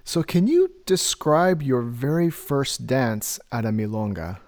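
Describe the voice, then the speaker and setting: A man's voice: mid-range voice, big pitch swings, a little slow, a little mellow. A man hosting a podcast, reading a scripted guest introduction and asking interview questions. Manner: scripted introduction, then brief backchannels. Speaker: podcast host.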